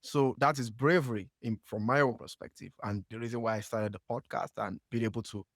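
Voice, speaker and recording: A man's voice: medium pitch, steady tone, fairly quick, a bit bright, no echo, studio quality. A man with a Nigerian accent hosting a marketing podcast, asking long questions with lots of "uh" and restarts. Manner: hesitant, many fillers and restarts when asking questions. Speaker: podcast host. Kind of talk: conversational interview.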